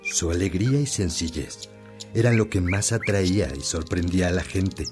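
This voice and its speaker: An actor playing a wise, kindly old priest in a Spanish-language radio drama, telling stories in a measured, earnest way. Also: male voice, low-pitched, over music, close to an everyday voice. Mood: reassuring, fatherly.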